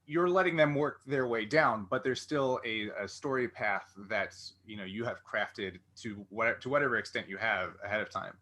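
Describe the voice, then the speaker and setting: A man's voice: lowish voice, normal speed, hardly any pauses, sharp tone. A man hosting a casual interview, thinking aloud through long, rambling questions. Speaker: podcast interviewer, game master.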